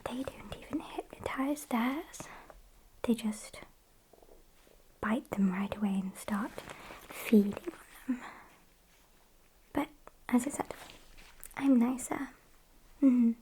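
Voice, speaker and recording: A woman plays a sassy British vampire in an ASMR roleplay, whispering softly and very unhurriedly. Her voice is mellow and sits fairly high, with smug, mock-polite condescension as she toys with her prey. There is noticeable hiss behind her. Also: slow, long pauses, low-quality recording. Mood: coolly playful. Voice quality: soft, breathy whisper.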